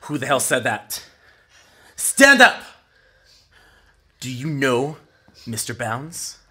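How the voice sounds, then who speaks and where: A man's voice: mid-range voice, big pitch swings, slow pace, sharp tone, breathy edge, full character voice. An actor playing a cruel, cynical male captor in an audio drama, preaching at and mocking his victims with menace. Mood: cold menace.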